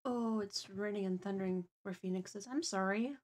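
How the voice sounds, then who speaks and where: A woman's voice: medium pitch, normal speed, a little mellow. A woman hosting a livestream, chatting casually and playfully with her co-host and viewers.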